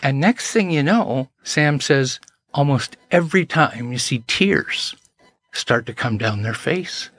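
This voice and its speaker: A man's voice: mid-range voice, animated, slow pace, fairly bright tone, breathy edge, no echo. A man, a podcast guest telling anecdotes in an earnest, self-deprecating way. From Canada. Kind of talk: casual chat, storytelling.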